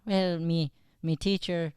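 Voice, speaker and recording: A man's voice: a little high, steady tone, takes it slowly, darkish tone, smooth, dry recording, clean studio sound. A man voicing a shy, anxious Irish schoolboy who stammers hesitantly in an improvised radio comedy. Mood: earnest, shy. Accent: Irish, put on. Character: timid, socially anxious young Irish schoolboy. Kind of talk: improvised old-time radio comedy play.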